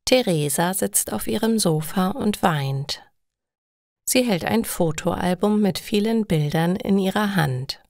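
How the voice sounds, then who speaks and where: Slow-reading female storyteller, dry recording. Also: medium pitch, lively intonation, darkish tone, no room sound. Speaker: narrator of language-learning stories. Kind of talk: slow, clearly read scripted story narration.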